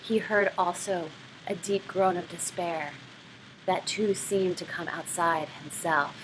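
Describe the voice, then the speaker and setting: A woman teaching a writing lesson, explaining and reading passages aloud in an expressive way. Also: fairly low pitch, slow, slight background noise, rough recording. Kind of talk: literary craft lesson with read-aloud passages.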